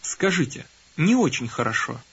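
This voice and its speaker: Husky man narrating a language lesson. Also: on the high side. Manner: calm prompting, gives cues then pauses. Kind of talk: scripted instructional narration. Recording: dull lo-fi tape recording.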